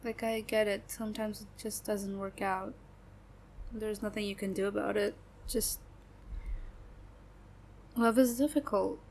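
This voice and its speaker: Woman voicing a young best friend, sad and guarded, slow halting speech, nervous little laughs, faint background noise. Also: mid-range voice, fairly even intonation, darkish tone, natural voice. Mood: sad, resigned. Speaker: voice actor. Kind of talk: audio roleplay.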